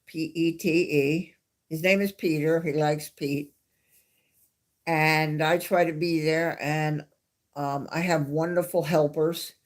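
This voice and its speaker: A woman with a New York accent hosting a casual live stream, chatting in a rambling way and laughing softly now and then. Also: low voice. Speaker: livestream host.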